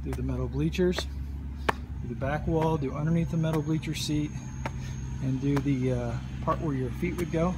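A man's voice: a little high, normal speed. Plain-spoken man outdoors, noisy phone audio. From United States. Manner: plain, matter-of-fact, with fillers and restarts. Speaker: pressure washing contractor. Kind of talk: casual walkthrough narration. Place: outdoor high school stadium stands.

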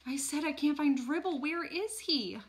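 A woman's voice: high-pitched, animated, unhurried, pitched above the performer's own voice. A woman voicing a frantic ten-year-old boy. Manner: shouting, pleading. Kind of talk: storybook read-aloud.

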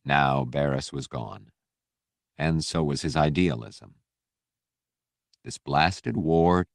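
A man reading a fiction audiobook as narrator, with measured, dramatic storytelling delivery. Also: rumbling low, vocal fry, no echo, clean studio sound.

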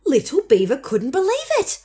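A woman's voice: high-pitched, wildly swooping pitch, normal speed, warm tone. A young woman narrating a children's story expressively as a storybook reader.

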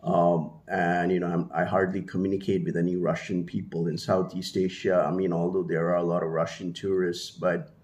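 A man's voice: sits low, little pitch movement, moderate pace, few pauses, darkish tone. A man in his thirties from India, whose first language is Hindi, talking casually to camera in a small room, rambling with many fillers and restarts. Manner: gestures with one hand, holding a small mic to his mouth. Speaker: vlogger. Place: small room at home, indoors. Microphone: handheld mini mic held at the mouth.

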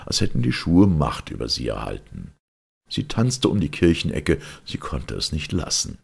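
A man narrating a fairy tale as an expressive audiobook reader, with a gravelly, very husky voice. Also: a bass voice.

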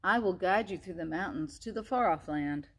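A woman's voice: lowish voice, normal speed, slightly rough. A woman voicing a grateful wolf who guides a mouse, speaking in a gracious, kind way.

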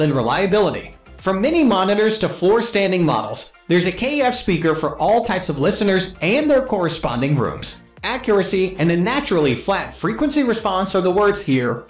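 A man's voice: high-pitched, echoey room. A man reading a scripted countdown-style product review in a formal, promotional manner. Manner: formal, promotional read-aloud delivery. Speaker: narrator of product review videos. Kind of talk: scripted top-ten countdown narration.

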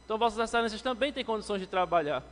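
A man in his thirties, from Brazil, delivers a formal floor speech to a city council, addressing the chair. His voice sits a bit high and climbs further when he turns critical. The lectern mic picks up the long ringing reverb of the council chamber. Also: lively intonation, rapid-fire, fairly bright tone. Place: council chamber, indoors, medium-sized. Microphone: lectern microphone, standing.

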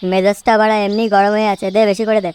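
A woman's voice: mid-range voice, little pitch movement, very fast, darkish tone, a little hiss or hum, close to an everyday voice. A woman voicing a hot-tempered young male village drunkard in a comedy cartoon, cursing and blustering. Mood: irritated, angry.

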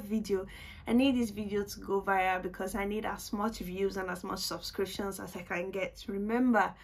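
A woman's voice: medium pitch, a bit bright. A woman in her twenties chatting casually through a makeup tutorial at home, self-mocking and repeating words for emphasis, recorded by a camera at desk distance. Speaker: beauty vlogger. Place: small home room, indoors.

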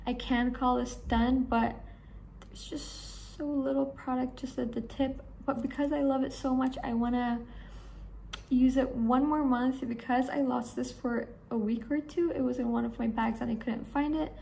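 A young woman with a hoarse voice, chatting enthusiastically to camera in a small room about her beauty products. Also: high-pitched, fairly even intonation, normal speed, dark tone, slight background noise. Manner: chatty, enthusiastic, rambling with fillers. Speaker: beauty vlogger. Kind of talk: casual talk to camera.

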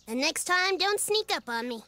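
Very high, bossy little cartoon duck. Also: female voice, animated, moderate pace. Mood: indignant. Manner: blustering, exclamatory, self-important. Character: small brave, bossy, loyal watch duck. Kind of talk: children's cartoon acting. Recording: loud music behind the voice.

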